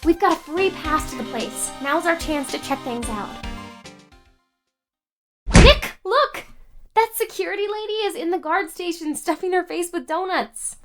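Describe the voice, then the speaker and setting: A woman voicing a perky, excitable, eager teenage girl assistant in a video game. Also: extremely high pitch, a little slow, soft background music. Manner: eager, bubbly, playful. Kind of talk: scripted game dubbing.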